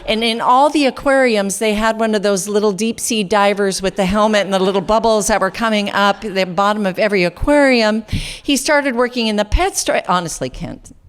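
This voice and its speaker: A woman's voice: mid-range voice, normal speed, clean tone. Woman emcee giving a playful mock-serious introduction. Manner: playful mock-serious introduction, piling up one fake career after another. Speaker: event emcee. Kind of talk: stage introduction. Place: event hall stage.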